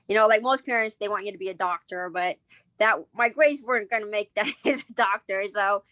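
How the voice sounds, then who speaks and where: A chatty woman in her forties, originally from Vietnam, talks through her answers with lots of fillers and restarts. Her voice sits at a middle pitch, and the recording is close and dry. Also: fairly quick, fairly bright tone, dry recording. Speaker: business owner guest. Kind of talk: casual interview answers.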